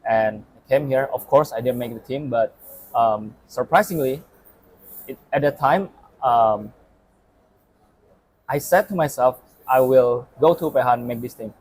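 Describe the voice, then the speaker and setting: A man's voice: mid-range voice, measured pace, long pauses. Hesitant young man telling his story. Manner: hesitant, many ums, storytelling. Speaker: former player and assistant coach. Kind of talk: casual interview chat.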